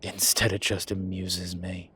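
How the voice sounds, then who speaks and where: A man playing a flirtatious, cheeky incubus demon in an audio roleplay, talking in a teasing, suggestive way with drawn-out pauses. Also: low voice, level tone, sharp tone, husky, exaggerated voice. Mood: amused teasing. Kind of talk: audio roleplay acting, one-sided conversation.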